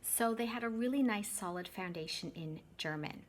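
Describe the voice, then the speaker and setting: A woman's voice: mid-range voice, moderate pace, a little hiss or hum. A woman from Canada in her thirties, talking casually to her channel's viewers and correcting her own word choices as she goes. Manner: chatty, self-correcting, friendly direct address. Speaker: YouTube vlogger and English teacher. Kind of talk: casual talk to camera.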